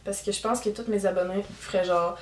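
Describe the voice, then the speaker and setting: A woman's voice: medium pitch, steady tone, a little fast, a little hiss or hum. An 18-year-old woman with a strong Québécois French accent, chatting candidly to her viewers in a casual vlog, full of fillers. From Quebec. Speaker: YouTuber. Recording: roomy echo, camera at a distance.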